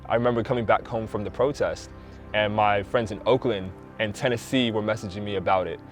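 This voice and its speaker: A man's voice: fairly low pitch, steady tone, fairly quick, faint music behind. A man speaking earnestly and passionately in a reflective documentary interview.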